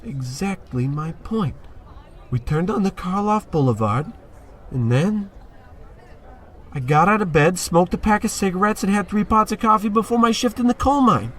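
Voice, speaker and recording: A man's voice: high-pitched, lively intonation, normal speed, fairly bright tone. A man playing a hapless, hard-drinking working stiff who gripes in slang in a comic old-time radio play. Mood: puzzled.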